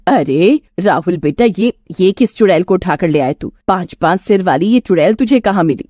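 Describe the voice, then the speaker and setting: A woman's voice: fairly low pitch, animated, normal speed, warm tone, dry recording, clean studio sound. A cruel middle-aged stepmother, played by a woman storyteller, full of scorn. She scolds and threatens with melodramatic relish, a true villain of a village storybook tale. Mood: outraged, scornful.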